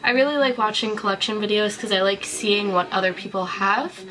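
A woman's voice: medium pitch, fairly even intonation, a little fast, pushed and bright, low-quality recording. A woman in her twenties with a cold, chatting casually to the camera in a bedroom as she shows off a collection. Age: 20s. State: sick with a cold. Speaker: vlogger. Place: small bedroom, indoors.

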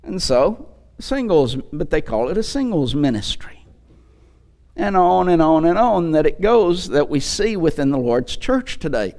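A man's voice: fairly high pitch, big pitch swings, a little slow, darkish tone. A man in his sixties preaching a sermon from a church pulpit into a headset mic, emphatic, with rhetorical questions and repetition. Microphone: headset microphone.

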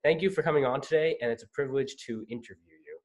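A man's voice: mid-range voice, fast, poor audio. A young man hosting a student interview over a video call, asking formal prepared questions. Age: young adult. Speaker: interview host. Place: home office.

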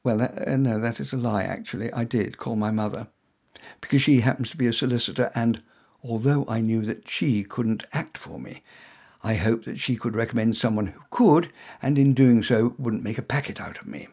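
Gravelly, wry English storyteller. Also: male voice, moderate pace. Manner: dry, wry, conversational delivery with lively pitch. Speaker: author reading his own story. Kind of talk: first-person storytelling.

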